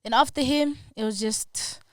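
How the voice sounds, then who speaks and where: Smooth-voiced young woman. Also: high-pitched, moderate pace, no echo, studio quality. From South Africa. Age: young adult. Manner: relaxed, trailing, filler-heavy. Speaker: guest musician. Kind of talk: casual podcast interview.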